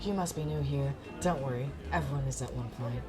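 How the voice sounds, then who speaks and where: A woman's voice: a bass voice, a little fast, over music, pitched below the performer's own voice. A woman voicing a vain, fancy cat in a visual novel, haughty and gushing about itself. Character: vain, fancy, self-absorbed pampered cat with pink ribbon.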